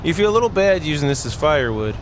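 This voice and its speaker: A man with a fairly high, very animated voice plays through a story game. He reads the narration aloud and drops in jokey asides, with faint noise in the background. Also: fast. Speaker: gaming video host. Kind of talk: playful let's play commentary, reading narration aloud.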